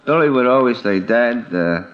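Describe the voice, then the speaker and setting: A middle-aged American man speaking earnestly and haltingly as a contestant on a 1950s radio show. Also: mid-range voice, lively intonation. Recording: dull old radio recording.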